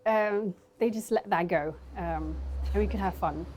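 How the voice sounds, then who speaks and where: Soft-spoken young woman dentist. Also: lowish voice, measured pace, slight background noise. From Sweden. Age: 20s. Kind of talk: documentary interview.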